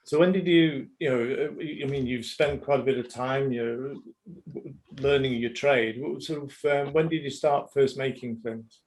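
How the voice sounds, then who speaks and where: A middle-aged man hosts a live-streamed business interview, asking questions and relaying viewer comments from the chat. He talks in a friendly but halting way, full of ums and you-knows, at a mid-range pitch. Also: a little mellow, a little hiss or hum, low-quality recording. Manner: halting, friendly, many fillers. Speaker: host of a live business showcase.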